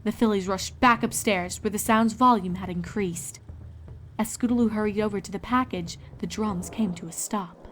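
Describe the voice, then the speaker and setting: A woman narrates an adventure story from a script. Her pitch is in the middle range for a woman, and there is faint noise and ambience behind her. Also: moderate pace. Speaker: fan fiction narrator.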